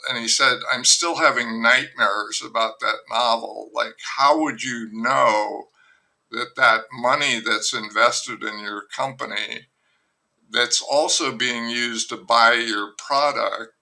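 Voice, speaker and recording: An older man, a novelist guest, talking in a rambling, wry way with many fillers and restarts in a relaxed podcast conversation. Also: medium pitch, creaky, low-quality recording.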